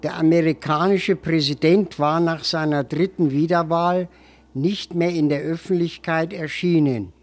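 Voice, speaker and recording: A man's voice: a little high, steady tone, a little slow. A man reading a scripted chronicle as narration.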